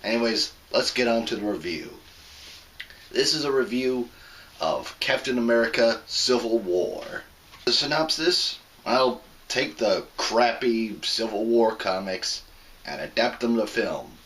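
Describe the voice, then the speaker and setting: A man hosting his own review show, hoarse from a sore throat, explaining a plot in a plain, casual way with some restarts. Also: medium pitch, lively intonation, a little slow, fairly bright tone, slight background noise. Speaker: host of a movie review show. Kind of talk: casual spoken movie review.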